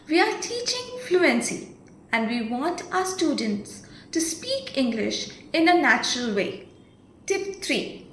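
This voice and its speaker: A woman giving an instructional training talk as a program manager, with deliberate, emphatic enunciation. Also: high voice, a bit bright. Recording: roomy echo, faint background noise.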